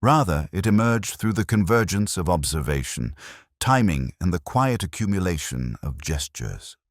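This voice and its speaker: A contemplative detective inspector played by a man recounts his case in a very low voice, recorded dry and close. His delivery is formal and measured, with lively rises and falls, in the manner of a literary mystery story. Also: no echo. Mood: hushed, watchful. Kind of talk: scripted first-person detective story narration.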